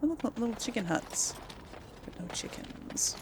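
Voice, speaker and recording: A woman's voice: a little high, sing-song, normal speed, heavy background noise. A woman doing casual let's play commentary, chatting and musing aloud as she plays. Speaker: game streamer, role-player. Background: game ambience.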